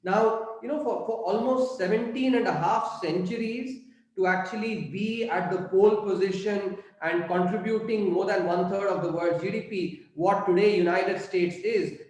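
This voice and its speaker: A middle-aged man from southern India, a native Tamil speaker with an Indian English accent, gives an unscripted college lecture, pausing often with fillers and restarts. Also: sits high. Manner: halting, many fillers and restarts. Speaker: guest speaker on economics. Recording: very echoey room.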